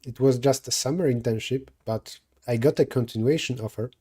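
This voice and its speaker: Mellow-voiced Polish man thinking aloud. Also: medium pitch, fairly quick, slightly rough. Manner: thinking aloud, self-correcting mid-sentence. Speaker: streamer solving coding problems. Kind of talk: live coding stream, answering chat.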